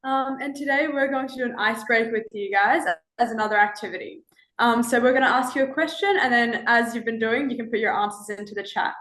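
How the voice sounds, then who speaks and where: A teenage girl leads an icebreaker for other students. She speaks hesitantly and often starts with "um". Her voice is a bit high and echoes around the room. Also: fast talker, fairly bright tone. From Australia. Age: teens. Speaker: student presenter.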